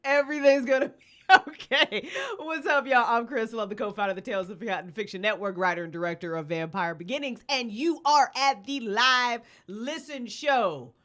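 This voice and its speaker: Woman, high voice, casual livestream host, playful, rambling, freely swearing. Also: fairly quick, fairly bright tone. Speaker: livestream host, podcast co-founder, writer and director. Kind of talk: casual live chat. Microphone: streaming setup with webcam and headphones.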